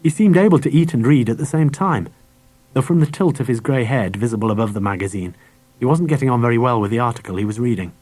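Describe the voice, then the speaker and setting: Husky male storyteller, close and dry. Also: medium pitch, expressive, quick pace, a little mellow, dry recording, poor audio. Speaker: audiobook narrator. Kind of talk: scripted children's story narration. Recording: dry cassette audiobook transfer.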